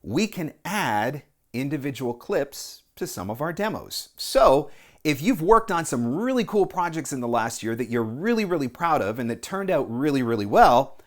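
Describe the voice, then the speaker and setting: A man's voice: a little high, very animated, normal speed. A man in his forties with a North American accent talks conversationally as a coach hosting a tutorial, stressing key words. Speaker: voiceover coach and video host. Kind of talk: casual tutorial talk to camera. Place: small indoor room at home or office. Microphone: lapel mic.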